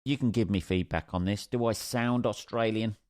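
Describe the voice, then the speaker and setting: A man's voice: on the low side, moderate pace, darkish tone, no room sound, studio quality. A young man from Northampton with an English accent, a stand-up comedian telling a rambling, sweary story on his own podcast. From England. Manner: sweary, rambling, jokey asides. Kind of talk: casual solo podcast storytelling.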